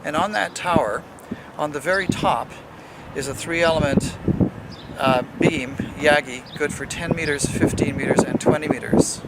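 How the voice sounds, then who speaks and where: Man explaining over loud background noise. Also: on the high side, normal speed. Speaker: amateur radio club member giving a station tour. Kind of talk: informal explanatory tour narration. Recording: noisy ambient amateur video sound.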